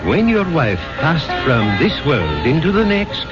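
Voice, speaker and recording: A man's voice: a little high, wildly swooping pitch. A serene, enigmatic guru in an old radio drama. He speaks formally and solemnly, with a widely varied melody. The recording is muffled, with prominent music underneath. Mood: solemn, insistent. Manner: formal, measured, solemnly certain. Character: serene, enigmatic male Indian spiritual guru. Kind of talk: 1970s radio drama acting. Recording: dull vintage radio recording, loud music behind.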